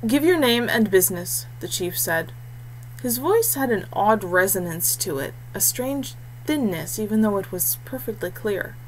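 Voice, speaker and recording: A woman's voice: medium pitch, expressive, unhurried, slight background noise, rough recording. A woman reading a scripted fantasy story aloud as its narrator.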